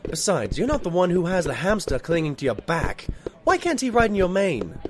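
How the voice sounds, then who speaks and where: A man's voice: high-pitched, wildly swooping pitch, moderate pace. A man playing a loyal pony sidekick and narrator in an audio drama, polite, earnest and wry. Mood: exasperated.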